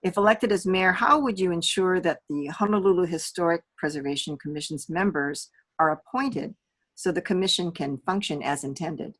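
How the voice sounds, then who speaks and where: A woman's voice: on the low side, normal speed, a bit bright. A woman interviewing for a community group, putting prepared questions and explaining them informally.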